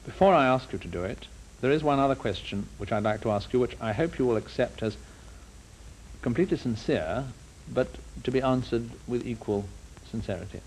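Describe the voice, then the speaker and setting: A man interviewing formally on a 1950s broadcast, with measured, deliberate and probing questions. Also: mid-range voice. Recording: noisy 1950s broadcast recording.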